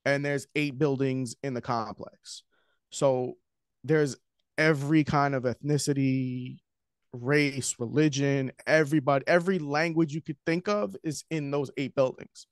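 A man's voice: medium pitch, unhurried, clean tone, dry recording, studio quality. A man in his forties with a New York accent, a screenwriter talking casually and animatedly as a guest on a video call. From Queens, New York. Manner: talkative, animated, gestures while talking. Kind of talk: casual interview chat. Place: home room with shelves. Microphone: studio mic with headphones, video call.

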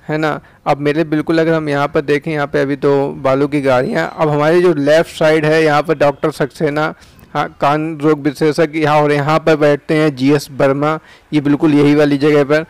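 A man's voice: a little high, flat delivery, moderate pace, clean tone, no room sound. A young man from India with an Uttar Pradesh Hindi accent, casually narrating a ride through town streets outdoors as a chatty vlogger. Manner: chatty, tag questions like "है ना".

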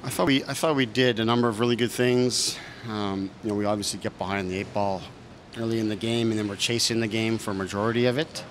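Head coach, thirties, male; low, monotone delivery, measured and restarting; close press mics, noisy room. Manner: measured, thoughtful, frequent fillers and restarts. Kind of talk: post-game press conference answers. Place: press room in front of a sponsor backdrop. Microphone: several reporters' handheld mics close in front.

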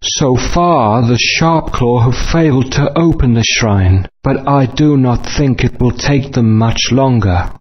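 A man voicing a calm, solemn dinosaur tribesman in a video game, measured and formal. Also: medium pitch, breathy edge. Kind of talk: video game character acting. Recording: dull lo-fi game audio.

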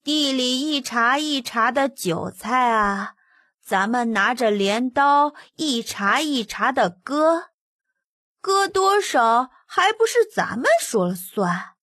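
A woman voicing a sly, self-assured little boy emperor in a childish, drawn-out voice for an audiobook. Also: sits high, lively intonation, fairly bright tone, no echo, clean studio sound, full character voice. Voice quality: childish, babyish voice.

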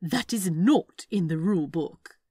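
Woman playing a prim, indignant male angel, animated. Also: fairly low pitch, unhurried, husky, dry recording, studio quality. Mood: exasperated. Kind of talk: fan fiction dialogue reading.

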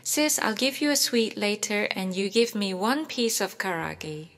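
A woman reads scripted translations extremely slowly, in a measured teaching manner. Her mid-pitched voice carries a long reverb. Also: expressive, a bit bright, faint music behind. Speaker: translation reader.

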